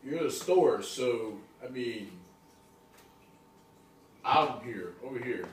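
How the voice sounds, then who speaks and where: A man voicing a hesitant, helpful puppet shopkeeper, halting and casual, in an amateur puppet show. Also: medium pitch, animated. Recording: roomy, distant mic, faint noise.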